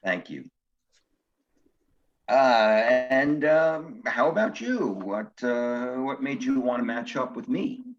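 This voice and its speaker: Actor as refined, awkward older widower in stage comedy; mid-range voice, hesitant and earnest, slightly dull recording. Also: male voice, medium pitch, lively intonation, slow. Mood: flustered. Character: nervous, cultured older widower on a virtual date. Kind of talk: one-act stage comedy acting. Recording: dull, lo-fi sound.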